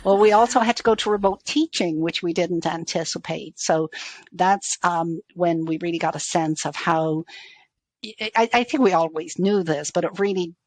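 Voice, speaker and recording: A woman's voice: fairly low pitch, normal speed. A middle-aged woman from Dublin with a faded Irish accent gives conversational interview answers, often rephrasing as she goes. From Dublin, Ireland. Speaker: university IT executive, interview guest.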